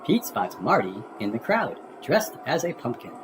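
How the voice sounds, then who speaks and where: A woman reading children's storybooks aloud as an animated narrator, with exaggerated expression and music behind her. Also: a bass voice, moderate pace, background noise. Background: music and sound effects.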